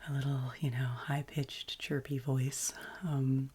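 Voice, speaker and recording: A woman with a deep, husky voice chats gently as an ASMR host, soft-spoken and often whispering. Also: little pitch movement. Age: adult, past college. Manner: slow, gentle, soothing delivery.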